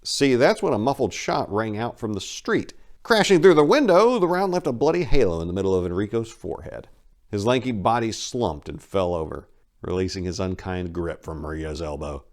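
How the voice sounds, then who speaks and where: Deep-voiced man narrating dramatically. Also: expressive, normal speed. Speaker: host and narrator. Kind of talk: dramatic scripted story narration.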